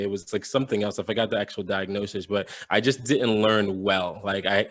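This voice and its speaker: A young adult man from Jamaica, a podcast guest telling his story casually, with many fillers and restarts. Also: fairly low pitch.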